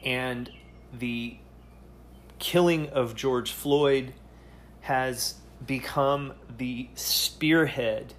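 A man hosting a solo podcast, speaking earnestly and haltingly, often pausing to search for words. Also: medium pitch, slight background noise. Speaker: podcast host.

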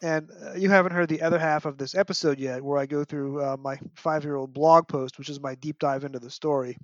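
A middle-aged man chatting about books as a podcast guest, telling things in an opinionated, animated storytelling way. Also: on the high side, a little fast, no room sound. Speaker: podcast guest, fan and parent. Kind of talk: casual book discussion. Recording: dull, dry lo-fi audio.

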